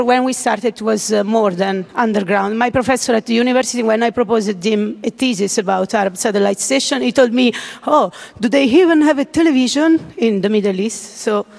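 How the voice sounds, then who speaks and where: A woman in her thirties speaks English with an Italian accent, in a fairly high voice with a strongly gravelly edge. She talks haltingly to a large audience, stopping and restarting often. Her voice carries a long reverb from a big hall. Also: a little mellow. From Italy. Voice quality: hesitant, many uh fillers and restarts. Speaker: conference speaker. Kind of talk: nervous conference talk.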